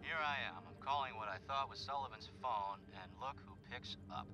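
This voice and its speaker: A man voicing a smug, smooth-talking rival treasure hunter in his thirties in a video game. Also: medium pitch, a little fast, lightly acted, poor audio. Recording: very loud music behind game dialogue.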